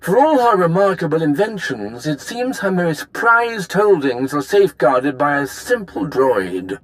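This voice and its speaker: A fussy gentleman scholar with a fairly high, swooping voice. He is eager, effusive and elaborately polite, in video game cutscene style. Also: very animated, a little slow, poor audio.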